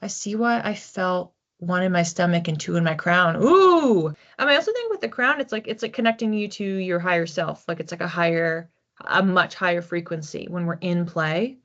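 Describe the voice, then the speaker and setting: Low-voiced woman giving a chatty card reading. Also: lively intonation, normal speed. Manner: chatty, thinking aloud with restarts and soft laughs. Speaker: psychic card reader. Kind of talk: relaxed solo oracle reading. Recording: slightly dull lo-fi audio.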